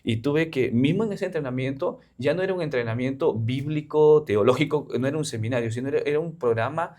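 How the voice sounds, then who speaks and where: A man's voice: medium pitch, brisk, darkish tone. Earnest Peruvian man explaining. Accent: Peruvian Spanish. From Peru. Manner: earnest explaining, with frequent fillers. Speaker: missionary guest. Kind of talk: interview conversation.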